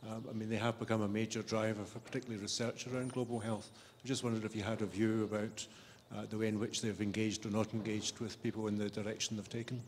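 Quick-talking man in a reverberant hall. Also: on the low side, flat delivery. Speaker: audience member asking a question. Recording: long hall reverb, faint hiss.

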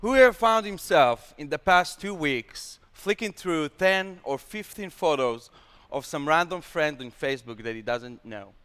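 Young man, Israeli accent, energetic founder pitch, slightly high, smooth voice. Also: measured pace, few pauses. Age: young adult. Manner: energetic, gesturing, slightly broken English. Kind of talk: pitch presentation on stage. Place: large hall with stage. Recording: long hall reverb.

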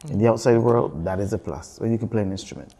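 A man's voice: on the low side, fast, dark tone. A man judging a talent show, who gives playful, laughing feedback and often stumbles over his words. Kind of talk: judge's feedback. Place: studio judging table.

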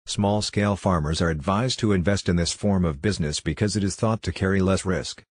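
Deep, husky male voice-over narrator. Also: a little fast, no echo, studio quality.

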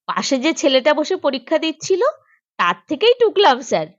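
A woman's voice: high-pitched, sing-song, normal speed, muffled recording, voice pushed up. A woman voicing a cheeky, grumbling schoolboy in a humorous storybook reading, glib and whiny with boastful excuses. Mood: smug, sly. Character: cheeky, grumbling schoolboy, slyly dim pupil.

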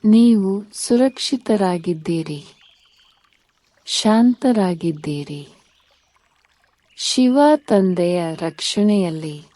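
Female meditation guide: soft-toned, dark and mellow, very slow scripted delivery with soothing pauses. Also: on the low side, dry recording. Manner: soothing, unhurried, instructing in short phrases with pauses.

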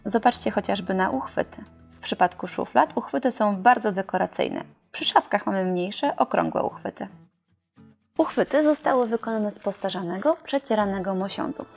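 A young woman presenting and reviewing furniture in a showroom, in a clear, explanatory scripted delivery. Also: mid-range voice, moderate pace, soft background music.

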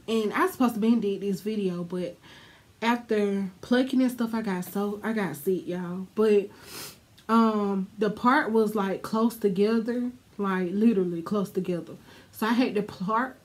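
A young woman talks to her camera in a small dark room, her voice stuffy and congested. She drawls slowly and bluntly, swearing freely as she chats to her viewers. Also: mid-range voice. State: stuffy, congested from a sinus infection. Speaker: beauty vlogger. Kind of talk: casual wig review to camera.

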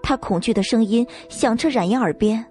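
Higher-pitched female story narrator. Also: a little fast, darkish tone, soft background music. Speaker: audiobook narrator.

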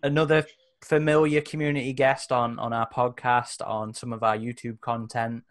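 A man in his thirties talks casually on a group roleplaying stream, where he hosts and plays. His voice has an ordinary middle pitch. He speaks into a headset from a room indoors. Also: little pitch movement, normal speed. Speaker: host and player in a roleplaying game. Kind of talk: casual chat. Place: indoor room on a video call.

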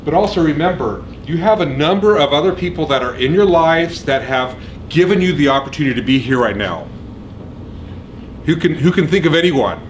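A middle-aged man giving an energetic orientation speech in a university lecture room, often checking in with "okay?" and "right?". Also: fairly high pitch, fairly quick, slight background noise. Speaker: program administrator.

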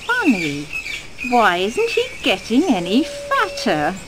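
A woman's voice: fairly high pitch, huge pitch swings, slow, noisy background, full character voice. A woman playing a wicked, greedy old witch in a fairy-tale cartoon, muttering and bossy, with impatient temper. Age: older.

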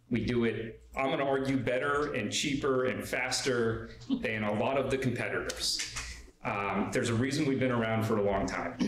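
A man in his thirties giving a live technical talk as an engineer, informal and energetic with many restarts, speaking into a stand mic in a large room. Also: mid-range voice. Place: large open event room. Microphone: stand microphone at a podium. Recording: roomy live talk audio.

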